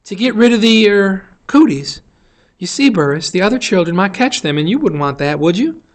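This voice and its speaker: A man's voice: sits high, big pitch swings, moderate pace, voice pushed up. A man voicing a prim, squeamish woman schoolteacher in a storybook, with a proper, anxious manner. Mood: prim, polite.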